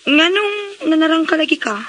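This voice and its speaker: A woman's voice: high voice, brisk, a bit bright. A woman with a Visayan Filipino accent playing a dreamy, cheeky teenage daughter in a radio drama. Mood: puzzled.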